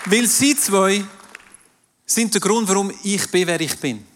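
A man's voice: high-pitched, a little slow, a bit bright, a little hiss or hum. A man with a Swiss German accent, French being his first language, preaching an emphatic sermon to a live congregation in a large hall. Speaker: pastor. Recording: distant voice in a reverberant hall with crowd.

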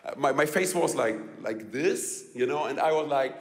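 A man with a German accent tells a personal story to a live audience, halting and repeating words, laughing often and asking the audience questions. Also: medium pitch, long reverb tail. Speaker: speaker telling a personal story.

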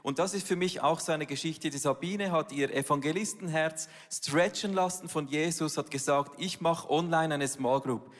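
A church leader giving a personal, earnest talk at a service. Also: female voice, low voice, moderate pace, hardly any pauses. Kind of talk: sermon-style church talk. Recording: long hall reverb.

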